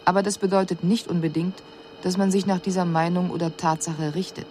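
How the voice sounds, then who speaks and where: An actress playing an extraterrestrial observer in a radio drama, reporting on human customs in a clinical, measured, matter-of-fact way. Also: female voice, fairly low pitch, little pitch movement, dark tone, a little hiss or hum, close to an everyday voice. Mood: detached, analytical.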